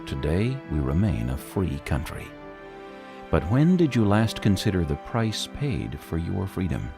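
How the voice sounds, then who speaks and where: Solemn american guy narrating a documentary, really low voice, lively intonation, music playing under it. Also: unhurried, gravelly. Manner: solemn, dramatic.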